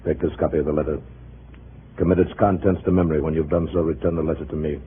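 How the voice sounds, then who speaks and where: An actor playing a big, stern communist party boss in a 1950s radio drama, speaking in a cold, curt, commanding way. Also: male voice, a bass voice, fairly even intonation, quick pace. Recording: phone-narrow old radio recording, faint noise.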